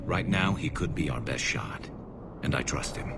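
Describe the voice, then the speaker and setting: A man's voice: very deep voice, lively intonation, moderate pace. A man playing a wry, battle-hardened alien soldier and advisor in a video game, dry and confident. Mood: serious. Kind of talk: video game cutscene acting. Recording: loud music behind the voice.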